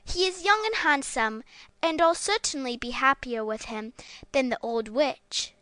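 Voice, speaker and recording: A woman's voice: high-pitched, measured pace, pushed and bright, clean tone, no echo. A woman playing a young, innocent maiden in a fairy-tale audiobook, speaking eagerly and girlishly. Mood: hopeful.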